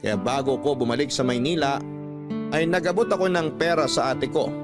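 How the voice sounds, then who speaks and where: A man's voice: mid-range voice, moderate pace, music in the background, lightly acted. A man playing a responsible uncle, narrating his story earnestly as scripted radio drama. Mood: reflective, earnest.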